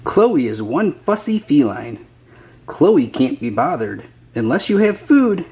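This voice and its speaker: A man's voice: a little high, big pitch swings, takes it slowly, darkish tone. A man reading a children's picture book aloud as a scripted narrator.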